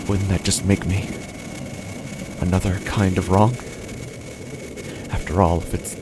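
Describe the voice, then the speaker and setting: An actor playing an anxious, unraveling office worker, narrating a first-person horror audio drama in halting, intense short phrases. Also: male voice, fairly low pitch, noisy background. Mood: uneasy reasoning.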